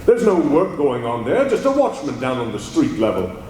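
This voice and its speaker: A man playing a calm, commanding crime-fighter hero in a live old-time radio drama, crisp and dramatic. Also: medium pitch, moderate pace, slight background noise. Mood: urgent. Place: large convention hall, live stage. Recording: echoey hall with long reverb.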